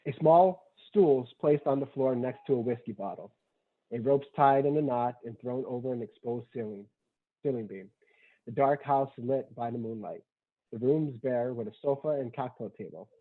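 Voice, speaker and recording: A man's voice: mid-range voice, normal speed. Casting: male script narrator, mid-range voice, matter-of-fact, thin band-limited recording. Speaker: stage-directions narrator. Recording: muffled, dull lo-fi sound.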